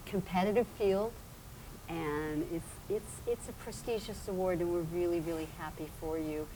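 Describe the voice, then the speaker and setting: A woman's voice: lowish voice, normal speed, warm tone, slight background noise, low-quality recording. A woman interviewing a guest, asking questions in an encouraging way with frequent affirming murmurs.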